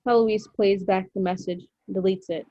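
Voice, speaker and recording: A woman reading scripted stage directions as narrator of a drama, in short, measured phrases. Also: mid-range voice, steady tone, mellow, lo-fi sound.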